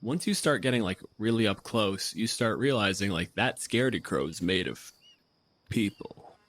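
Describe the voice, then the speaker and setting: Male game master, slightly low pitch, casual improvised tabletop narration. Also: expressive, moderate pace, fairly bright tone.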